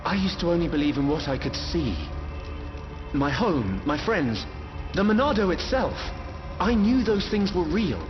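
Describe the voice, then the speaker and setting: A man's voice: on the high side, very animated, a little slow, big-room sound, dull audio, music in the background. An actor playing an earnest, thoughtful young male hero in a video game cutscene. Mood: reflective.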